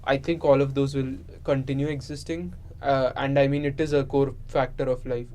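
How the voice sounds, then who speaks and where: A man's voice: medium pitch, level tone, moderate pace, a little mellow. A man in a casual discussion, speaking in a reflective, explanatory way. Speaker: participant in an unscripted discussion. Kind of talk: casual philosophical chat. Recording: noisy room ambience.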